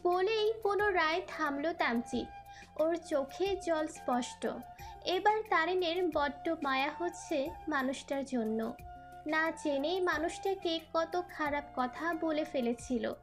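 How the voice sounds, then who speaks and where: খুব চড়া স্বরের নারী গল্পকথক. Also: female voice, sits high, expressive, slow. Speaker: story narrator. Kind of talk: scripted story narration. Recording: background music under narration. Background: music.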